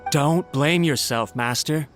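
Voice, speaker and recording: An eager, loyal servant. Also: male voice, a little high, slow. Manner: eager, reassuring. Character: loyal male household servant, eager and fretful. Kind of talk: game cutscene voice acting. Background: game music.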